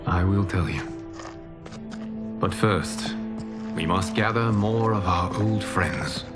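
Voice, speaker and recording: An actor playing a calm, wise male keeper of time in a video game cutscene, speaking in a measured, reassuring way. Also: low voice, over loud music.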